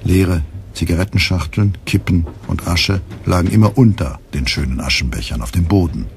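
A man narrating a literary radio drama in a measured, deadpan manner. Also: low-pitched, creaky, slight background noise. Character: deadpan male narrator of a satirical story.

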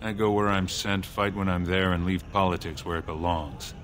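A man voicing a gruff, weary dwarf warrior in a video game, speaking in a terse, blunt way. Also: sits low, steady tone, normal speed, noisy background, lightly acted. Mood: grim, weary. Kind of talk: video game dialogue acting.

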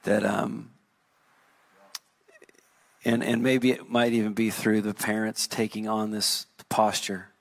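Man, lowish voice, worship guest, halting, restarts often. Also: flat delivery, vocal fry. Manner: searching, halting, with stammered restarts. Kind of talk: conversational ministry interview.

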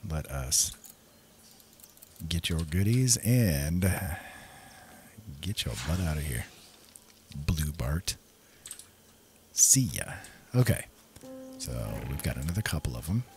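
A man's voice: extremely low pitch, very animated, slow, long pauses, slight background noise. A man giving casual, easygoing gameplay commentary, musing and talking to himself. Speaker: gameplay host. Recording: loud game music behind. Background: game music and sounds.

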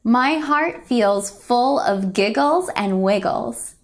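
Slow, higher-voiced young woman reading a storybook. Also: lively intonation. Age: young adult. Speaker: picture book reader. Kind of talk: children's storybook read-aloud. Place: indoors, a home room.

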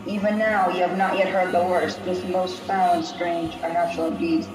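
A woman voicing the solemn, aggrieved ghost of a murdered king, speaking in slow, archaic, portentous phrases in a tabletop game. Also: on the low side, flat delivery, natural voice. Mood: solemn, grave. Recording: echoey, distant, music underneath. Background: music.

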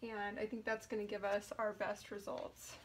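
A woman's voice: medium pitch, completely flat delivery, normal speed, a little hiss or hum, poor audio. A woman in her thirties giving a casual hands-on DIY tutorial indoors, thinking aloud informally as she works. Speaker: DIY furniture painting vlogger. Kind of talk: casual tutorial chat while working.

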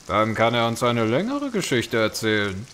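An actor playing an eager, friendly tavern listener in audio drama dialogue. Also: male voice, mid-range voice, huge pitch swings, normal speed, fairly bright tone, a little hiss or hum.

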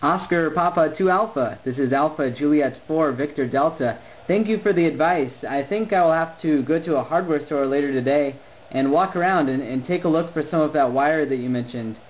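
A man with a slightly high voice chats as an amateur radio operator. His phrasing is deliberate and articulate. The recording is a bit dull, with faint noise behind him. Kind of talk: conversational ham radio exchange.

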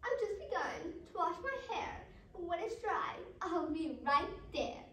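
A woman's voice: very high-pitched, expressive, a little slow, fairly bright tone, voice pushed up, exaggerated voice. A young girl voicing a brave, sweetly posh young lady from a storybook. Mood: sweetly confident. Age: child around 11 or 12. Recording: roomy, distant mic with faint noise.

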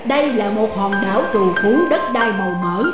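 A woman's voice: mid-range voice, fairly quick, close to an everyday voice. Woman as an echoing, stately giant turtle god. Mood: solemn, benevolent. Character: benevolent giant turtle god, solemn and grateful. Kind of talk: cartoon voice acting. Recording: heavy echo effect, music behind.

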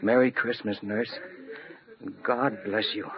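A man's voice: a little high, moderate pace, natural voice. An actor playing a bedridden man who cannot speak, pleading in his thoughts in an old radio drama. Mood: tender. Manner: pleading, breaking into anguished outbursts. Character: mute bedridden wounded man, desperate inner voice. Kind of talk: 1940s radio drama inner monologue. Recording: muffled old radio recording with hiss.

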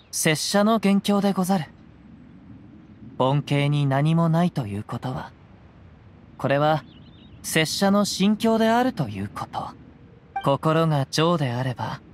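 A man voicing a gentle, reflective young wandering samurai in a video game, speaking calmly and poetically in archaic phrasing. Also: on the high side, slight background noise. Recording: music behind the voice.

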